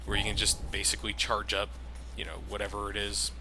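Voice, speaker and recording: A man's voice: fairly low pitch, brisk, creaky, soft background music. A man hosting a let's play, talking casually and rambling with lots of fillers as he plays. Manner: relaxed, rambling, with frequent fillers. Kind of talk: casual gameplay commentary.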